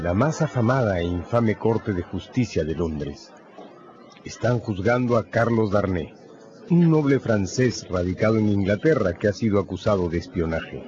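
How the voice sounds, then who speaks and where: A man narrating a radio novel in a scripted, dramatic storytelling style with measured phrasing. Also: lowish voice, lo-fi sound.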